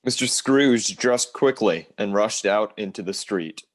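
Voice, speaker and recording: A man's voice: fairly low pitch, measured pace. A man narrating an old-fashioned radio play from a script.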